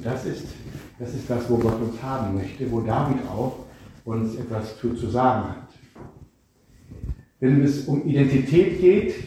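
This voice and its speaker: A man delivers a reflective sermon with a warm, dark tone, often turning to his listeners with questions. He stands some way from the microphone, so his voice carries the heavy echo of a large hall. A faint hiss sits under the recording. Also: medium pitch. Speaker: preacher. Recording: echoey room, speaker far from mic, faint noise.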